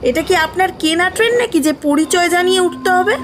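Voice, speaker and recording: An actress playing a bold, impatient young college student in a horror audio drama, brisk and challenging. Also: female voice, very high-pitched, slight background noise. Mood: annoyed.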